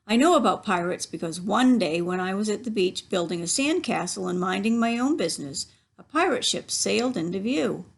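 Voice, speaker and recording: A woman's voice: medium pitch, animated, a little fast, vocal fry, few pauses, husky. A woman reading a picture book aloud to children as a library story reader, instructive and given to asides to her listeners.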